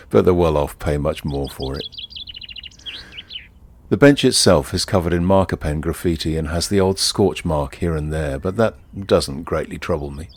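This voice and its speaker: An actor playing a wry, easygoing ordinary bloke who confides in the listener in a leisurely first-person monologue. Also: male voice, very deep voice, unhurried, darkish tone, slight background noise. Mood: wry, amused. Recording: outdoor ambience with birdsong behind. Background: birdsong and outdoor ambience.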